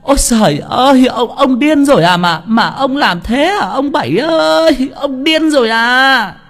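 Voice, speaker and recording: Người đàn ông giả giọng chị vợ quê gào khóc the thé. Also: male voice, expressive, measured pace, a bit bright, full character voice. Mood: frantic wailing. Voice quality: shrill. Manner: sobbing, repeating words. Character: distraught shrieking peasant wife, wailing for her child.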